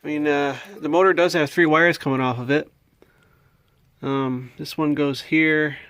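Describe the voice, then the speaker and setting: A man's voice: a little high, fairly even intonation, a little slow, fairly bright tone, smooth, dry recording. An American man giving a casual show-and-tell, thinking aloud in a hesitant, puzzled way. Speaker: hobbyist video maker. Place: indoors at a home workbench.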